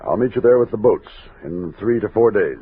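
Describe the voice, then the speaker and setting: An American actor playing a steady, commanding army captain of a frontier expedition in an old-time radio drama. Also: male voice, on the low side, brisk, husky, phone-quality sound. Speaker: lead actor and narrator. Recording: thin, noisy vintage radio recording.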